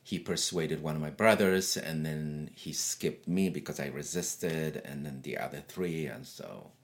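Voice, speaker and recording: A very deep-voiced middle-aged man from Eritrea, Tigrinya his first language, speaks in a relaxed conversational interview. He tells his stories freely, restarting sentences and laughing as he goes. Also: measured pace. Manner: chatty storyteller, frequent restarts and laughs.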